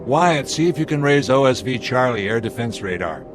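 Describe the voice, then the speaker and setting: A man's voice: medium pitch, lively intonation, moderate pace, noisy background, close to an everyday voice. An actor playing a confident male airline captain in a radio drama, speaking with clipped authority. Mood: tense, commanding.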